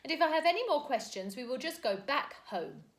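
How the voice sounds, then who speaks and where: A woman reading aloud, voicing a stern, proper nanny with a sharp manner. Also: high voice, very animated, brisk, pitched above the performer's own voice.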